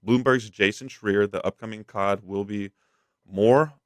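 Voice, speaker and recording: Low-voiced man chatting on a gaming podcast. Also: steady tone, a little slow, no echo. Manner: rambling, slangy, frequent "like" and "dude". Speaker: podcast co-host. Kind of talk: casual gaming news chat.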